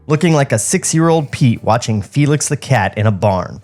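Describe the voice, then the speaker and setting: A man's voice: medium pitch, lively intonation, moderate pace, a little mellow, faint music behind. A man hosting a podcast, reading script directions aloud and commenting casually on them in a comedic table read.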